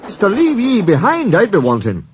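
A man voicing a gruff, quarrelsome male steam engine, in a storybook reading. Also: high voice, huge pitch swings, moderate pace, dry recording, voice pushed up, full character voice. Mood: angry scolding. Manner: blustering, broad dialect.

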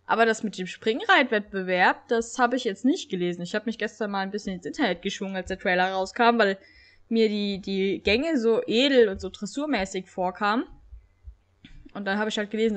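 A young woman with a cold, hosting a game video in casual, excited commentary that stumbles now and then while reading aloud. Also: medium pitch. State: has a cold. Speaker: gaming channel host.

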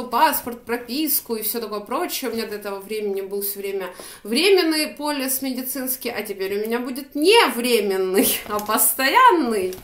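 A woman's voice: a little high, a bit bright, a little hiss or hum. A young woman with a Ukrainian accent chatting casually to her phone camera about her shopping, rambling and laughing easily. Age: young adult. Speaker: vlogger. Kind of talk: casual shopping-haul chat. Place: small room indoors by a window. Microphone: phone camera at arm's length. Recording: echoey room.

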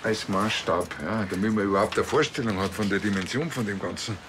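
An actor playing a bumbling, know-it-all small-town police officer with a Bavarian accent, earnest and opinionated. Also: male voice, fairly low pitch, brisk, noisy background, close to an everyday voice. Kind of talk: TV crime comedy acting.

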